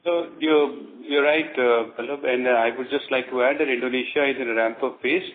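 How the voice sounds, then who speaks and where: A man's voice: mid-range voice, steady tone, a little fast. A man with an Indian accent explaining business figures off the cuff as a finance executive on an earnings call. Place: conference call. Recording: thin phone line with noise.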